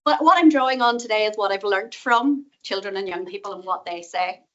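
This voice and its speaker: A middle-aged woman with a Northern Irish accent giving a conference talk, quick, chatty and self-deprecating. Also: mid-range voice, fairly bright tone, muffled recording. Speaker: guest speaker, professor. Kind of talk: conference presentation. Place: conference room.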